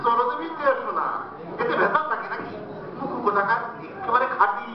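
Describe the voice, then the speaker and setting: Plaintive poor woodcutter, high-pitched and echoey. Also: male voice, animated, brisk, crowd noise. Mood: teasing. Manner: plaintive, exclamatory, theatrical delivery. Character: poor, honest village woodcutter, pleading and humble. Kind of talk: Bengali comic folk-tale stage drama. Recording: very echoey, distant, dull, noisy recording.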